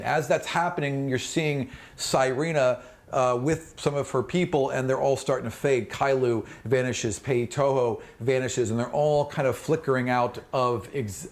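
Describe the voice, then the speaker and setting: A man working as game master, casually narrating a tabletop fantasy game. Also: medium pitch.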